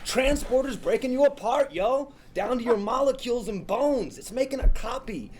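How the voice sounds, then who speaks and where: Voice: mid-range voice, expressive, moderate pace, darkish tone, lightly acted. A performer at a table read playing a cocky, argumentative young male slacker with a slangy, know-it-all manner. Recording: faintly noisy room.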